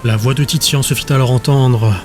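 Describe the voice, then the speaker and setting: A man's voice: mid-range voice, fairly even intonation, normal speed. Male audiobook narrator over prominent music. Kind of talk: scripted fantasy audiobook narration.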